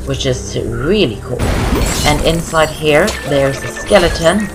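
Very low-voiced young woman gaming. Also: animated, a little slow. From Norway. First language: Norwegian. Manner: chatty, rambling, repeats phrases. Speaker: gaming video host. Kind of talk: casual game walkthrough commentary. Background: game music.

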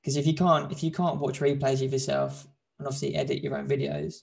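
A young man chatting casually on a video call, talkative and quick to laugh, often restarting his sentences. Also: mid-range voice, level tone, gravelly, a little mellow. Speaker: host doing a video challenge. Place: bright home room. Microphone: video call, desk mic.

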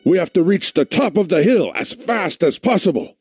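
An actor playing an earnest, resolute warrior commander in a dramatic video game cutscene. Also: male voice, sits high, very animated, normal speed. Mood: urgent. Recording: loud music behind.

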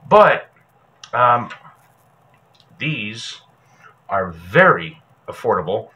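A middle-aged man presenting a casual how-to demonstration, speaking haltingly and pausing mid-sentence. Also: lowish voice, sing-song, pushed and bright. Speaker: host, presenter.

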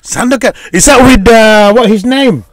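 A man's voice: very high-pitched, normal speed. A man from North Sumatra in his late forties, hosting a casual video-call chat in a rambling way, repeating his words. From North Sumatra, Indonesia. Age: 40s. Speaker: host.

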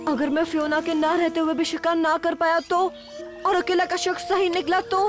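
A woman's voice: extremely high pitch, flat delivery, moderate pace, over music. A woman playing an eager, earnest young jungle boy in a cartoon dub. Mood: dejected.

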